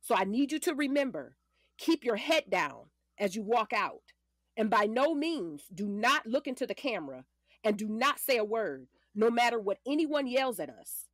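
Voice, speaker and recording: A woman's voice: fairly high pitch, big pitch swings, moderate pace, no room sound, studio quality. A woman playing a confident, matter-of-fact lawyer in her late forties in an audio drama, firm, precise and commanding. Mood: instructive.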